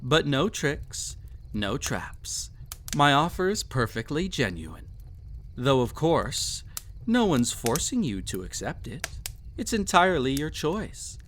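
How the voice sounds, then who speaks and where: A man's voice: on the high side, sing-song, slow pace, fairly bright tone, slight background noise, exaggerated voice. A man playing a cruel, possessive, vain emperor toying with a captive in an intimate audio roleplay, with haughty, teasing, theatrical phrasing. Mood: condescending, coaxing.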